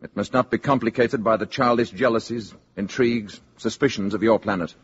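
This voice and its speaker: An actor playing a calm, stern alien envoy in a 1950s radio drama, speaking formally and deliberately with a husky voice. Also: male voice, fairly low pitch. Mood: stern, grave. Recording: dull old 1950s radio recording.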